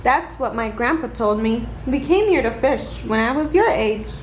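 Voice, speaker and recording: A woman's voice: fairly high pitch, expressive, normal speed, highs cut off, lightly acted. A woman voicing an easygoing old grandpa who loves fishing, in a storybook read-aloud. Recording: roomy echo, faint background noise.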